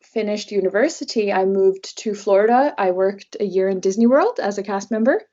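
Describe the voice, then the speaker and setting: A woman's voice: medium pitch, lively intonation, moderate pace, mellow. Travel blogger guest: young female, native Norwegian speaker, mid-range voice, conversational, somewhat muffled audio. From Norway. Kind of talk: conversational interview answers.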